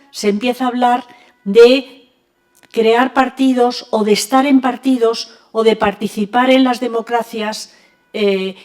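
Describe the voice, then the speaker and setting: A woman's voice: on the high side, a little mellow. An older woman from Spain, moderating an academic round table and talking conversationally with frequent restarts. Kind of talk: academic panel discussion. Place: university lecture hall.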